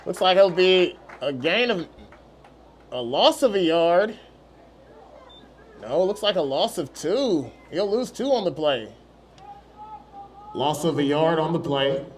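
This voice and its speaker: Male play-by-play announcer with a high voice, calling youth football outdoors over faint background noise. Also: slow, pauses often. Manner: steady, rising on big plays.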